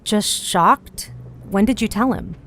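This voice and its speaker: A woman's voice: mid-range voice, slow, a bit bright, a little hiss or hum. A woman playing a curious, teasing friend in a fantasy audiobook, speaking in a confiding, inquisitive way. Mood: musing, inquisitive. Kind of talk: fantasy audiobook dialogue over music.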